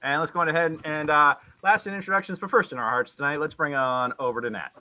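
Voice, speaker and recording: A man hosting a tabletop game as game master, narrating in a halting way that often backtracks. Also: fairly high pitch, bright, forceful tone. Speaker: game master and stream host.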